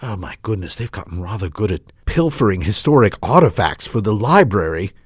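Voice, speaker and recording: A man's voice: on the low side, very animated, a little fast, husky, no room sound, studio quality. An actor playing a genteel, curious man with a polite, slightly prim and precise manner in an improvised radio comedy. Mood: curious, marveling. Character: genteel, curious, refined coffee roaster man.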